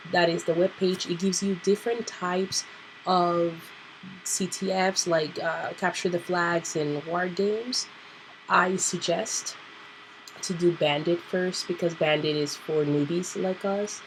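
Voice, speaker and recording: A woman hosting a casual computer tutorial, nasal and clearing her throat because she is sick, thinking aloud with fillers. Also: fairly low pitch, fairly even intonation, slow pace, slight background noise, rough recording. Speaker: tutorial host. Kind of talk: casual screen-recorded tutorial.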